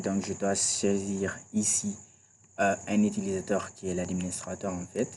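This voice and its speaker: Man, screen tutorial instructor, chatty, flat monotone delivery, faint background hiss. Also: low-pitched. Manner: chatty, repeats "d'accord" and "voilà". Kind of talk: screen-recorded computer tutorial.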